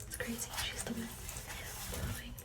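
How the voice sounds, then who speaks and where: A woman reading children's storybooks in a soft, breathy whisper, gently commenting on the pictures between pages. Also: normal speed, recorded from a distance. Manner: gentle, with quiet asides on the pictures. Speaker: storybook reader.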